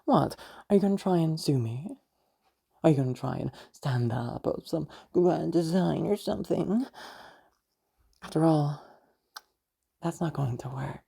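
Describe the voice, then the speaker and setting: A very deep, husky female voice with a dark tone plays a cruel, wealthy matriarch acted out by a woman in an audio drama. She is pious and domineering, talking down with taunting contempt and lingering pauses. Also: animated, heavily acted. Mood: mocking menace. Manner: condescending, taunting, drawn-out pauses and hums. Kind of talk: amateur audio roleplay monologue.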